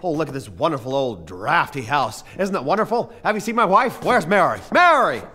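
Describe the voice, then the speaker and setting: Radio drama lead: male actor as desperate, emotional husband and father, thirties. Bright, forceful, high delivery, reverberant hall. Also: sing-song, fairly quick. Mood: giddy, joyful. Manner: impassioned, stammering when agitated. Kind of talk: live radio play acting. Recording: hall reverb with music behind.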